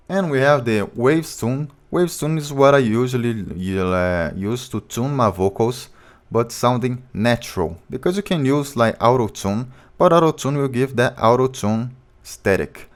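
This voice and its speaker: A man with a low voice, a music producer walking through his vocal chain step by step in a plain, informal tutorial. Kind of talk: tutorial walkthrough.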